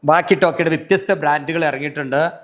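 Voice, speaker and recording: A man's voice: fairly high pitch, fast talker. Chatty man hosting. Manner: chatty, prompting with tag questions. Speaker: host interviewing a shop seller. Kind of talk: casual promotional interview.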